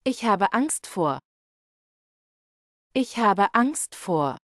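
A woman slowly reading German example sentences for learners, carefully enunciated and repeated. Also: mid-range voice, sing-song, dry recording, studio quality. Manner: carefully enunciated, each phrase read twice. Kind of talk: slow, clear model sentences for learners.

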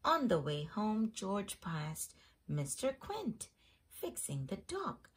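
A woman host reading a children's picture book aloud in an expressive storytelling manner. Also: medium pitch, slow, husky. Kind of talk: scripted storybook read-aloud.

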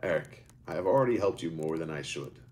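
A man doing a calm, wise old wizard. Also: rumbling low, brisk.

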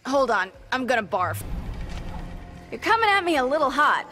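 A woman's voice: high voice, big pitch swings, normal speed, sharp tone, heavily acted. A young woman playing an earnest, defiant, sassy superheroine in a video game, confident and quippy. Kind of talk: video game character acting. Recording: music under the voice.

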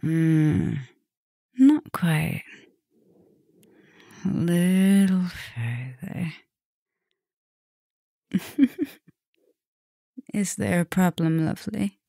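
A woman playing an affectionate, teasing, dominant vampire professor in a soft, intimate ASMR roleplay. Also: lowish voice, expressive, extremely slow, gravelly, long pauses, no echo, studio quality, full character voice. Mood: flirtatious, teasing.

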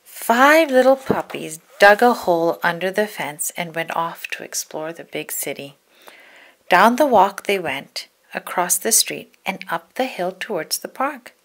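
A woman narrating a children's storybook in an expressive, deliberate storytelling manner. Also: lowish voice. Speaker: storybook read-aloud narrator.

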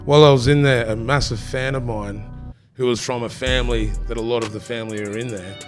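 A man's voice: mid-range voice, fairly even intonation, brisk. An Australian man in his thirties speaks as a podcast guest. He talks easily and loosely, leaning on "bro" as he tells his stories. His voice sits in a middle register, with a little music faint underneath. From Tasmania. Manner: laid-back storytelling, peppered with "bro". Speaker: guest, rapper. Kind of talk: casual podcast conversation.

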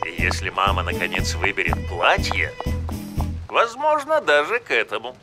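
Easygoing, teasing cartoon dad: fairly low voice, expressive swings, music behind. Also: male voice, normal speed. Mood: wry. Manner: wry, teasing. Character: calm, wry, easygoing bearded father. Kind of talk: animated cartoon voice acting. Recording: music under the voice.

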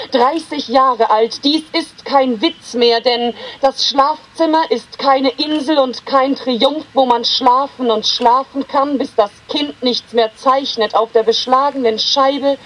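A woman with a high voice reads poetry aloud at a slow pace. Her phrasing is measured and deliberate, as in a literary reading, with space left between the short lines. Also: low-quality recording. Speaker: poetry reader.

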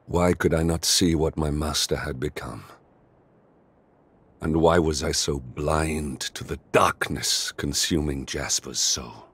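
An actor playing a stern, remorseful knight general in a video game, speaking formally and gravely. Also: male voice, extremely low pitch, fairly even intonation, takes it slowly, a bit bright, heavily acted. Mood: solemn regret. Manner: formal, solemn, grave delivery. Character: stern, remorseful male knight general, mature, noble and brooding. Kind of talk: video game cutscene acting.

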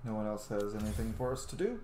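A man's voice: lowish voice, expressive, fast talker, dark tone. Man in his thirties, gaming streamer, casual, thinking aloud, echoey room, slight background noise. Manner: thinks aloud while playing. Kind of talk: casual let's-play commentary. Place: indoor room at home. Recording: roomy sound with faint background noise.

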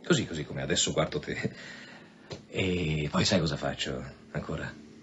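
An actor playing a sardonic, insistent police commissioner in an Italian radio drama, with sarcastic repetitions and veiled threats. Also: male voice, rumbling low, moderate pace, fairly bright tone. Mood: sardonic, menacing. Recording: dull sound with faint background noise.

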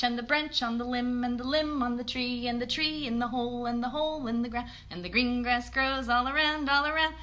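A woman in her thirties hosting a children's livestream, singing along and chatting to young viewers in an animated, encouraging way. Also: high voice. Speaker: children's livestream host and puppeteer. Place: bedroom, indoors. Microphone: phone at about arm's length or a little more.

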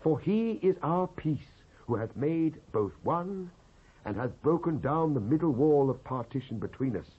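British guy reading scripture dramatically, very animated pitch, bit husky, dull old tape sound. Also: unhurried. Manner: solemn, declamatory. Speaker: Bible reader. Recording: muffled old cassette transfer.

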